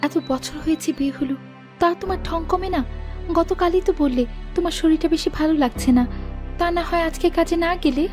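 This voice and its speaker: A woman voicing a caring, gently chiding young village wife in a fairy tale cartoon. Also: very high-pitched, normal speed, music in the background. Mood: fond scolding. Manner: fond, chiding, fussing.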